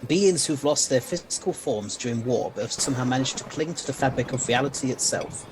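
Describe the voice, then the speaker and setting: A man plays a brisk, wry scientist-adventurer in an audio drama. He explains things formally and a little grandly, in a medium-pitched voice. Clear background noise sits behind him. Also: animated, natural voice. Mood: grave, explanatory.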